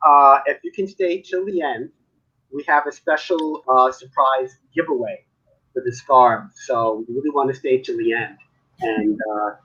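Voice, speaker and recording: A man's voice: on the high side, fairly bright tone, breathy edge, highs cut off. A man from Manhattan, an artist chatting casually on a live video call and telling stories with frequent laughs. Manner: chatty storyteller, laughs often. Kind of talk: casual live-stream chat.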